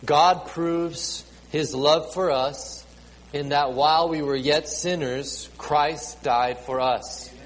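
Man preaching slowly in a reverberant hall. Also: a little high, steady tone. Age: 30s. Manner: halting, repeats words and fills with ums. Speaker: pastor. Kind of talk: sermon to a congregation with children. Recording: reverberant hall, faint ambient noise.

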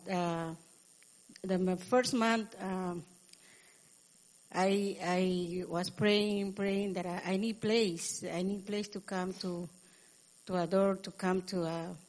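Halting woman giving church testimony. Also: fairly low pitch, darkish tone, poor audio. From USA. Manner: halting, searching for words, many fillers. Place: church service.

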